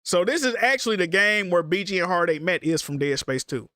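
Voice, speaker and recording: A congested man with a fairly high voice talks in loose, slangy banter and swears a lot as he hosts a livestream. He sits close to the mic, and the sound is dry and close. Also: a little fast, bright, forceful tone, no echo. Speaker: streamer host. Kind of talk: casual livestream chat.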